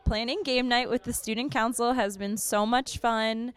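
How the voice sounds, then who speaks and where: A woman's voice: on the high side, fairly even intonation, moderate pace, clear, resonant tone. A woman who advises the student council, enthusiastically describing how game night came together, speaking into a handheld mic in a school hallway. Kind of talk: on-camera interview.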